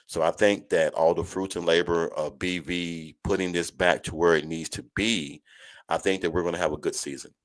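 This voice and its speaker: A man's voice: sits low, steady tone, moderate pace, no room sound. A man hosting a college football show in a casual, folksy, conversational way, speaking to listeners like friends. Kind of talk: casual live sports chat.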